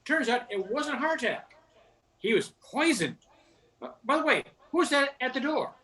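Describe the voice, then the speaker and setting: An older performer acts out a mild, busy husband in an old-time radio comedy. The voice is bright and animated. It comes from some distance off the microphone. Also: high-pitched, moderate pace, heavily acted. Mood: apologetic, matter-of-fact.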